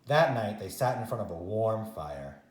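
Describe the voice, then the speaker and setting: A man reads a children's picture book in a very animated, swinging voice. He uses dramatic pauses for young listeners, and the room adds a noticeable echo. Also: fairly low pitch. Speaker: teacher reading a children's story. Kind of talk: animated read-aloud storytime narration.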